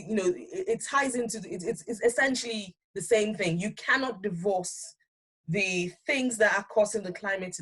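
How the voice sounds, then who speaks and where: A woman academic speaking unscripted on a panel, explaining things in a thoughtful, halting way. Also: mid-range voice, rough recording.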